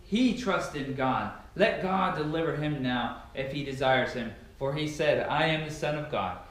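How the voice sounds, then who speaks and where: A man's voice: fairly high pitch, normal speed, a bit bright. Echoey young man reading scripture dramatically. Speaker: reader of scripture. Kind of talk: dramatic Bible reading aloud. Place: large, sparsely furnished living room indoors. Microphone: camera a few meters away. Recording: very echoey room, camera at a distance.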